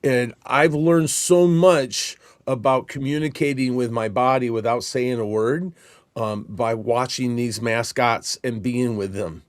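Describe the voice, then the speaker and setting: Male co-host, medium pitch, casual explainer who thinks aloud, dry close sound. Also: steady tone, unhurried, no echo. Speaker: podcast co-host and Christmas performer. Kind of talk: casual instructional discussion.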